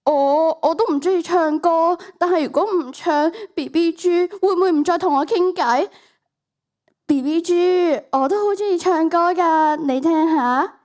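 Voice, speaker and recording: Teenage girl acting lovesick high-voiced Hong Kong woman. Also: measured pace, darkish tone. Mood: shy, eager. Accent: Hong Kong Cantonese. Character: insecure, lovesick, eager-to-please young woman. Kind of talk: student radio drama acting.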